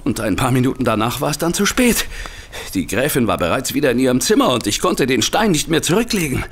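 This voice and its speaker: An actor playing a panicky, guilt-ridden hotel manager turned thief in an audio drama, agitated, halting and pleading. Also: male voice, mid-range voice, big pitch swings. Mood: confessing, shaken. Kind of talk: German audio drama acting.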